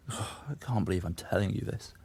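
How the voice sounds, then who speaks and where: A man's voice: low-pitched, lively intonation, a little slow, gravelly, a little mellow, husky, no echo, exaggerated voice. An actor playing a troubled, self-justifying young man who confesses and makes excuses in a naturalistic audio drama. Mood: ashamed confessing. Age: young adult. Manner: confessional, defensive, sweary.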